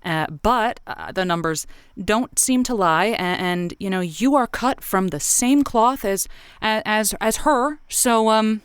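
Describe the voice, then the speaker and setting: An actress playing a radio advice host who asks probing, halting questions. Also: female voice, mid-range voice, clean tone, dry recording, clean studio sound, lightly acted. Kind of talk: improvised comedy call-in radio acting.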